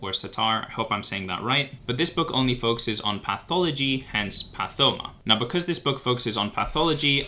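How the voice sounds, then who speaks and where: A man's voice: medium pitch, sharp tone, a little hiss or hum. A man in his twenties talking in a chatty, emphatic way, like a student explaining things in an informal vlog. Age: 20s. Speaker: medical student presenting study resources. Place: bright home room, indoors.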